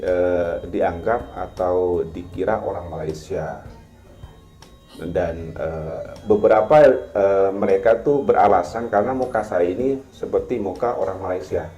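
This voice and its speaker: A man from Madura, Indonesia, telling his experiences as a restaurant worker in a measured, halting way with frequent filler sounds. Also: low voice, dark tone. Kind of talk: casual storytelling to camera. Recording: echoey, dull-sounding replayed video with faint music.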